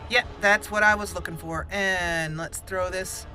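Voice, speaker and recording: A woman's voice: on the low side, fairly even intonation, a little slow, over music, low-quality recording. Chatty woman narrating her game. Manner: fretful, chatty, talking through each move. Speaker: let's play host. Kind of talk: gameplay commentary.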